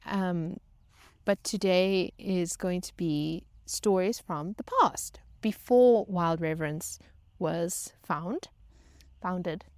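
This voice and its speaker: Casting: female vlog host, chatty and wry, unhurried halting delivery, dry close recording. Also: on the low side, a little mellow, no echo. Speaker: vlog host telling stories. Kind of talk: casual personal storytelling.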